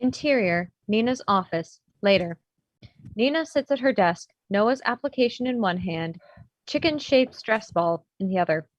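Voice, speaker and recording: A woman's voice: medium pitch, expressive, moderate pace, dry recording, dull audio. Close, dry-sounding woman reading stage directions. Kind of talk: scripted narration of a comedy screenplay.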